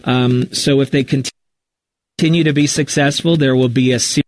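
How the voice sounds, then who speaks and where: Male writer in a relaxed Q&A, medium pitch, talks candidly and works out his answers aloud. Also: unhurried, no room sound. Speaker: writer and storyteller of a fiction podcast, being interviewed. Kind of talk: casual live interview answers.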